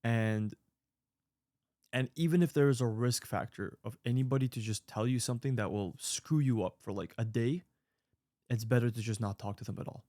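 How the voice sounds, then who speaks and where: A man in his late twenties talks straight to his audience, offering sincere life advice. He rambles, restarts and fills gaps as he goes, his voice sitting at an ordinary middle pitch. The sound is dry and close, with no room echo. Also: normal speed, dark tone. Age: 20s. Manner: earnest, rambling with fillers and restarts. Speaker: online creator answering viewer mail. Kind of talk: casual advice monologue.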